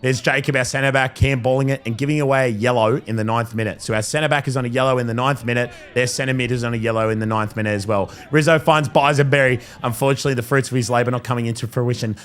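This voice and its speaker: A man with an Australian accent, hoarse from losing his voice, chatting through a match recap to his viewers in an upbeat voice-over. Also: mid-range voice, steady tone, fast talker, hardly any pauses. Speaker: club vlogger and coach.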